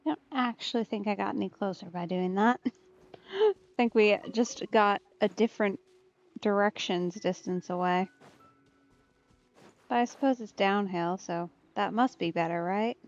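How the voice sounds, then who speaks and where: A woman's voice: mid-range voice, a little slow, dull audio. A woman giving casual, laid-back let's play commentary as a streamer, musing to herself. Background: faint game music.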